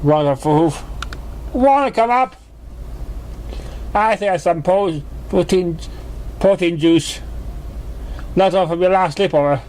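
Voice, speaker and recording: A man's voice: sits high, takes it slowly, pauses often, a little mellow, a little hiss or hum, voice pushed up. A man voicing a brash, tomboyish female pegasus pony with a caring big-sister manner in a story reading. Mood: casual, inviting.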